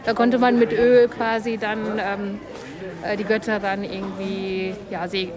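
A woman in her thirties from Germany in a casual interview, talking haltingly and often rephrasing. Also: mid-range voice, level tone. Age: 30s. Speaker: interviewee, temple visitor. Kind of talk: casual spontaneous interview talk. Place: busy festival site, recorded on location. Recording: crowd noise around the voice.

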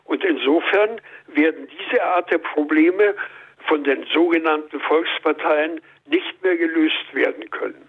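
Husky man on a tinny phone line. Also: a little high, unhurried. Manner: firm, opinionated, with frequent hesitations. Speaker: caller. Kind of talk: call-in radio comment. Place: calling from Berlin by phone. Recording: telephone line.

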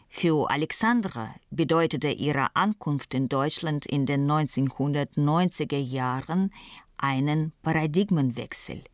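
Woman narrating a documentary, slow and measured, deep voice, dry close sound. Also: dry recording. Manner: measured, even delivery.

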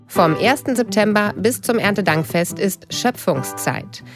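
A woman pastor hosting a church radio interview, asking clear, composed, scripted questions. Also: mid-range voice, expressive, measured pace, over music.

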